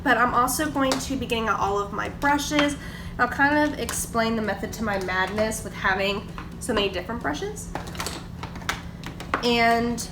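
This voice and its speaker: A young woman, stuffy from a cold, chatting casually through her makeup routine at her kitchen table. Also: on the high side. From Georgia, US. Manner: chatty, filler-heavy, explaining products. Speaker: beauty vlogger. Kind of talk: casual get-ready-with-me chat. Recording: echoey room, distant mic, background noise.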